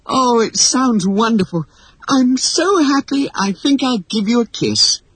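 A storybook narrator voicing an excited, chattering young girl with a gushing, emphatic manner. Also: medium pitch, measured pace, breathy edge, no room sound, voice pushed up, low-quality recording. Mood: thrilled. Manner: gushing, breathless, emphatic exclamations. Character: excited, chattering young girl, warm-hearted and wide-eyed. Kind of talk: acted storybook dialogue.

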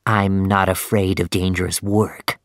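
Brief: narrator playing a calm, cautious ship's mate, low and measured, slow, dry recording. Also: male voice, dry recording. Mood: calm, firm. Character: steady, cautious first mate, adult man.

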